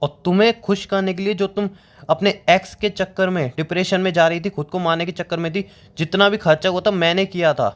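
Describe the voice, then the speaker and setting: A man's voice: high-pitched, steady tone, brisk, natural voice. A young man playing a wronged friend in a phone-call drama, defending himself in an earnest, insistent way. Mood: defensive, hurt.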